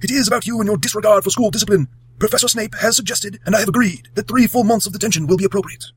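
A man voicing a stern old male headmaster wizard in an audiobook reading, with a high, breathy elderly tone. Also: rapid-fire. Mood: cold.

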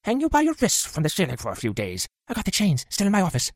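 Cruel, leering caretaker with lively pitch. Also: male voice, fast talker, no echo, studio quality, full character voice. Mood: gloating. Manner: nasty, gloating, menacing. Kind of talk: audiobook character acting.

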